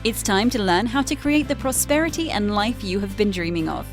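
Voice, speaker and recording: A woman announcer reads a polished, upbeat show intro at a middle pitch. Faint music plays under her voice. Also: expressive, fairly quick. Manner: polished, upbeat promo delivery. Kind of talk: scripted promo intro.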